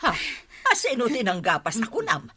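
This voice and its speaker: A woman's voice: on the high side, wildly swooping pitch, normal speed, bright, forceful tone, husky, full character voice. A woman with an Ilocano Filipino accent acting an earnest acting village captain in a radio comedy-drama. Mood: businesslike, indignant.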